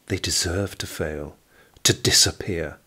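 A man voicing a cold, haughty, contemptuous wealthy woman in a period audio drama, speaking with deliberate disdain. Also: deep voice, sharp tone, husky, full character voice. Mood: scornful.

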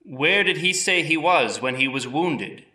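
A man's voice: fairly high pitch, lively intonation, unhurried, pushed and bright, exaggerated voice. A man playing a formal, probing 18th-century lawyer examining witnesses in a scripted trial re-enactment. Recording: roomy echo, distant from mic.

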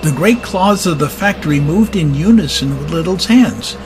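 A man's voice: on the high side, lively intonation, moderate pace, background noise. A man narrating a dramatized audiobook in a scripted storytelling style. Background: music.

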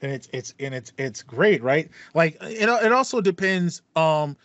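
Higher-pitched young man, casual podcast chat. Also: lively intonation, moderate pace, a bit bright, no echo, lo-fi sound. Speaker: podcast host. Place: small home room with foam panel, indoors.

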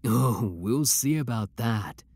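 A man playing an obsessive, menacing young villain with cold devotion, speaking deliberately and theatrically in a scripted ASMR roleplay. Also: mid-range voice, animated, darkish tone, no room sound. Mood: calculating, obsessive.

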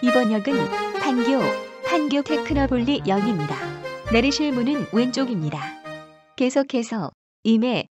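A woman reading scripted subway announcements as a train announcer, formally and with measured enunciation. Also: fairly high pitch, lively intonation, loud music behind the voice.

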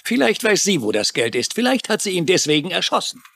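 An earnest, worried adviser. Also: male voice, sits high, big pitch swings, a little fast, gravelly, sharp tone, a little hiss or hum, full character voice. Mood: concerned. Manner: earnest, polite. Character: apologetic, worried male investment adviser. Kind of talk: German-dubbed television crime drama.

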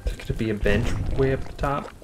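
A man's voice: medium pitch, fast talker, over music. A man co-hosting a casual co-op game playthrough. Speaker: gaming channel co-host.

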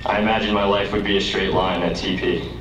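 A man's voice: sits low, level tone, fairly quick, a bit bright, slight background noise. Young man giving an earnest graduation speech. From United States. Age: young adult. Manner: earnest, rising to emphatic declaiming. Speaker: student speaker. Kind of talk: commencement speech. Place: outdoor lawn under a tent at a podium. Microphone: podium microphone, recorded by a distant camera. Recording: roomy, distant, dull outdoor PA sound.

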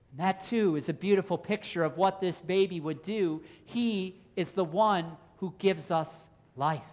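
Pastor preaching, deliberate and emphatic. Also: male voice, sits high. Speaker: Lutheran pastor. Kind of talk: sermon with rhetorical questions. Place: church, at a pulpit indoors.

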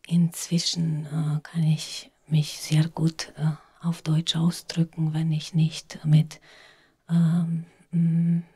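Hesitant Romanian-accented woman, hushed and husky. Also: fairly low pitch. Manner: hesitant, searching for words. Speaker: audience member asking a question. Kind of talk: spontaneous question from the audience. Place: outdoors among a seated audience.

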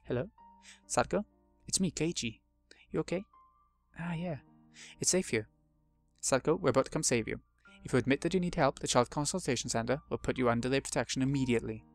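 A man's voice: medium pitch, a little fast, vocal fry, long pauses, faint music behind, close to an everyday voice. A man voicing an earnest, eager boy reassuring a friend.

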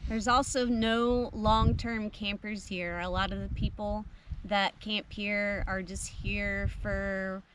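A woman's voice: medium pitch, flat delivery, slow. A woman in her thirties casually explaining practical camping tips on a beach in a friendly, informative way. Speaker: travel vlogger. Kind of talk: casual travel vlog chat. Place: outdoors on a beach beside a truck camper. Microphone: camera on a tripod, seated. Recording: outdoor recording with faint background noise.

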